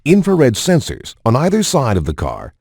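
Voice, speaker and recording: A man's voice: medium pitch, huge pitch swings, normal speed, vocal fry, darkish tone. A man reading formal, scripted promotional narration as a voice-over for a game. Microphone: studio voice-over.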